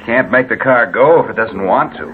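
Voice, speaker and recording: A man's voice: medium pitch, animated, moderate pace, close to an everyday voice. An actor playing a sullen, stubborn husband in an old radio drama, curt and insistent in his delivery. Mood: irritable. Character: sullen, cross, stubborn husband with commanding urgency. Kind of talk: 1940s radio drama acting. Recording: very noisy, tinny old radio recording.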